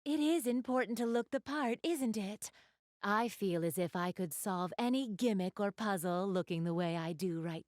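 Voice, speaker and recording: A woman's voice: mid-range voice, a little mellow, no echo, studio quality, lightly acted. A woman playing an elegant, doting big-sister goddess in a video game, speaking in polite, formal, refined phrases straight to the listener. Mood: gentle, poised. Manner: polite, formal, refined diction, gushing over what she adores. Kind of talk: video game character acting, addressing the listener.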